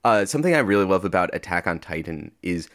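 A man with a fairly low voice chats as an interview guest. He speaks informally about creative work, in a halting way, often reaching for the next word. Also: sing-song, fairly bright tone. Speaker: podcast guest, audio fiction creator. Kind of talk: casual interview chat.

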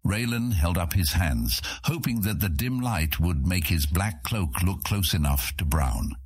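A man with a husky voice narrating a scripted fantasy audiobook. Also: low-pitched, expressive, unhurried, no echo, clean studio sound.

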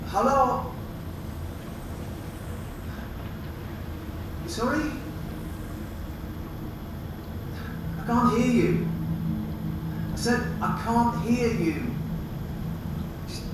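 A man's voice: high voice, very noisy. An actor with an English accent playing a courteous, hesitant male collector in a naturalistic audio drama. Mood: flustered. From England. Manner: hesitant, halting, polite.

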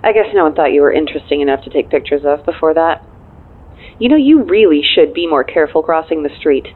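A dry deadpan office assistant lady on a phone call, kinda low voice, audio drama, unflappable. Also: fast talker. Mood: dry, teasing. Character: dryly wry, efficient female executive assistant, loyal and unflappable. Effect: phone filter. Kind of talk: naturalistic audio drama acting. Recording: thin narrow phone-line sound.

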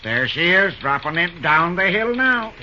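An actor playing a brash, slangy American railroad engineer, blunt and impatient, in old-time radio style. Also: male voice, sits high, sing-song, a little slow, pushed and bright, exaggerated voice. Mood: breezy, confident. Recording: muffled, hissy old-time radio recording.

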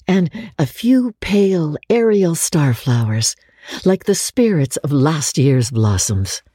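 Husky, expressive woman storyteller. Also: low voice, no room sound, clean studio sound. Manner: expressive, measured storyteller delivery. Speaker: audiobook narrator. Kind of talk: dramatic literary reading.

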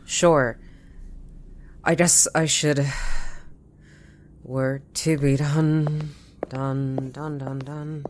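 A woman's voice: low-pitched, level tone, very slow, pauses often, darkish tone, slight background noise. A woman acting an anxious, overwhelmed woman in a sci-fi audio drama, hesitant and stammering over repeated words.

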